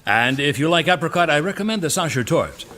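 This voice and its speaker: A man acting in an audio drama as a businesslike, assured chairman of a secretive project, speaking in a formal, measured way. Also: a little high, lively intonation, bright, forceful tone, slight background noise. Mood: courteous, brisk. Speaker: meeting chairman.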